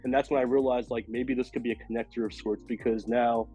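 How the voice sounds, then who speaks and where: A man with a raspy, hoarse voice from a cold, rambling casually as a podcast guest with many fillers. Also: medium pitch, fairly even intonation, quick pace, dark tone, faint music behind. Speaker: podcast guest, food illustrator.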